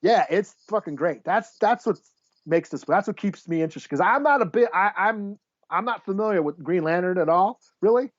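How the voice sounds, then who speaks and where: A man's voice: sits high, animated, normal speed, no room sound. A man hosting a casual comic book podcast, rambling and sweary as he gropes for names. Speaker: podcast host. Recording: slightly dull, dry lo-fi audio.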